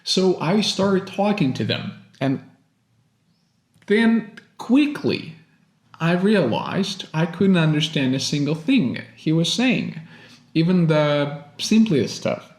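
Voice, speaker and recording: Slow-talking Russian-born man, echoey room. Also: fairly high pitch. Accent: American. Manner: calm, reflective, addresses viewers as guys. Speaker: English teacher and channel host. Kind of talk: personal storytelling vlog.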